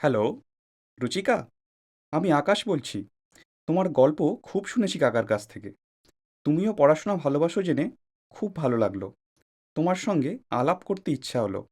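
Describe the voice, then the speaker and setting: Young male suitor played by an actor, courteous and keen, fairly high voice, audio drama. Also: very animated, brisk, pauses often, a little mellow. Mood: friendly, courting.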